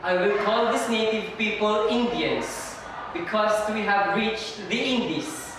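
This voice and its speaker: A young woman playing a determined male explorer in an amateur stage reading, declaiming deliberately. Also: medium pitch, lightly acted. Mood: proud, declaring. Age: young adult. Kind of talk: amateur stage readers' theater. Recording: distant mic, very echoey noisy room.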